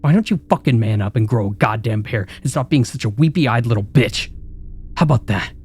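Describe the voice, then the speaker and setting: A man's voice: mid-range voice, lively intonation, a little fast, a little mellow, a little hiss or hum, close to an everyday voice. A man voicing a cruel, sneering male inner critic that mocks and taunts relentlessly in a dramatized story reading. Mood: mocking. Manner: mocking, taunting, vicious. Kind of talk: dramatized horror story narration.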